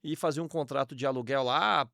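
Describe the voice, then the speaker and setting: Um homem baiano de uns quarenta anos, com sotaque de Salvador, contando sua trajetória num podcast, de jeito direto e irônico. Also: male voice, medium pitch, a little fast, gravelly, fairly bright tone, no room sound, clean studio sound. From Salvador, Bahia, Brazil. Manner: blunt, ironic, storytelling with emphatic slang. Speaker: podcast guest, entrepreneur. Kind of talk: casual podcast interview.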